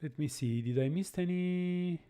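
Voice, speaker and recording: A young man chatting casually on a live coding stream, halting and restarting his sentences as he answers viewers. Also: high voice, mellow, clean tone. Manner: halting, many restarts and repeated words.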